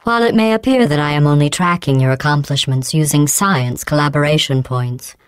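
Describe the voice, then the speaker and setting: A woman voicing a cold, sarcastic female AI overseer in a video game, with robotic processing on her voice and deadpan, mocking praise. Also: sits low, fairly quick, clean tone, no room sound. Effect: synthetic robotic voice processing.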